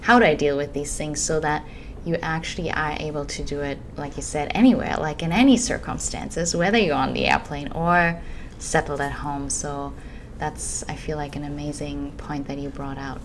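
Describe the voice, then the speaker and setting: A woman's voice: sits low, steady tone, moderate pace, a little hiss or hum. A woman who teaches meditation, talking in a flowing, casual way that is full of filler words. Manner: flowing, with many "you know" and "like" fillers. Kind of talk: casual podcast conversation.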